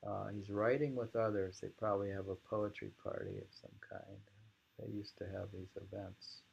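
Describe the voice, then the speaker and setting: A man reading poems aloud in a slow, halting, musing way, repeating lines and adding informal, rambling commentary, on a dull lo-fi recording. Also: lowish voice, expressive, creaky, a little mellow, breathy edge. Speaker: reader and commentator of poetry.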